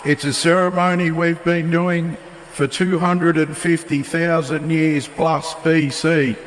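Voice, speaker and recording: A man giving a formal ceremony address at a stadium with a measured, declarative delivery. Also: fairly high pitch, level tone, a little hiss or hum. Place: large outdoor stadium. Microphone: stadium public address system. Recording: echoey stadium PA from far off. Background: crowd ambience.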